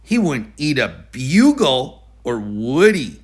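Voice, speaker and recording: Lively man reading a children's storybook. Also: medium pitch, slow pace. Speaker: storybook reader. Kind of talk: expressive children's story read-aloud.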